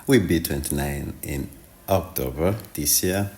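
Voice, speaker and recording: A man's voice: a bass voice, a little slow, darkish tone. A man in his late twenties from Rwanda, answering interview questions hesitantly, with many fillers. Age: 20s. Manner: hesitant, lots of 'like' fillers. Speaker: exchange participant.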